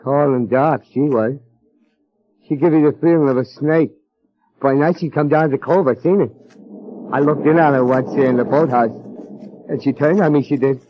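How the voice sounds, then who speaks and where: A man's voice: medium pitch, moderate pace, long pauses, slight background noise, close to an everyday voice. An actor playing a simple, fearful beachcombing man with uneducated rustic phrasing and a repetitive way of talking, in 1940s radio style. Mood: furtive. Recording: muffled vintage radio recording.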